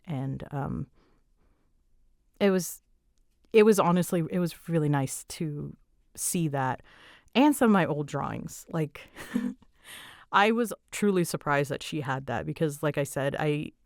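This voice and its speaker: A young adult woman chatting casually as she answers fan questions, with an animated way of retelling things. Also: low-pitched, measured pace, creaky, long pauses, no room sound, clean studio sound. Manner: chatty, animated retelling with quoted asides and giggles. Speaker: audio roleplay creator answering fan questions. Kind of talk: casual Q&A chat.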